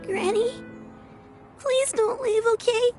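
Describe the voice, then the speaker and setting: A woman's voice: very high-pitched, fairly even intonation, slow pace. A woman voicing a sweet, sad little girl in a video game, with a childlike, plaintive delivery. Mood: worried, pleading. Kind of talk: scripted game voice acting. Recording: music behind the voice.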